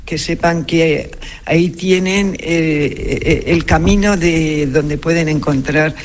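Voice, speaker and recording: A woman's voice: low voice, slow. A woman, a cloistered nun, answering interview questions in a firm, plain-spoken manner. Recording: clear background noise.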